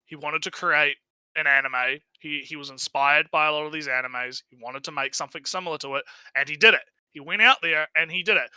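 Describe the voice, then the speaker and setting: A man's voice: a little high, brisk, sharp tone, clear, resonant tone, dry recording, poor audio. A man giving casual, unscripted stream commentary, rambling and swearing, often tagging his sentences with "right?". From outside the United States.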